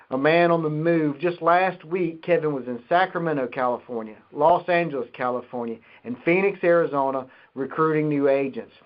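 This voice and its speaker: A man hosting a business conference call, reading out announcements and introducing a guest speaker. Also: on the high side. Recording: dull lo-fi conference call line.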